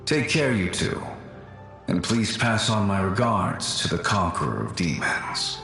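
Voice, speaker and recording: An actor playing the melancholy ghost of an ancient male warrior guardian, speaking slowly and formally with frequent sighs. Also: low voice, lively intonation, gravelly, bright, forceful tone, faint music behind, exaggerated voice. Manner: slow, sorrowful and formal, sighs often. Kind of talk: video game voice acting. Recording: heavy echo on the voice.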